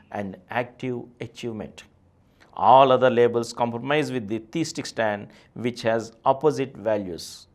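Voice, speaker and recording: A man with an Indian accent reads a scripted question-and-answer text aloud as a presenter, in a formal, declamatory way. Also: mid-range voice. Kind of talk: scripted read-aloud, expository.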